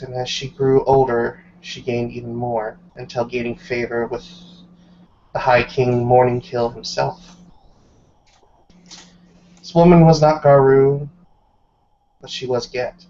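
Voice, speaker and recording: A man narrating a tabletop roleplay session as game master, dramatic and deliberate, with storyteller pauses. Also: medium pitch, little pitch movement, mellow. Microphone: online group voice call. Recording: muffled online call with background noise.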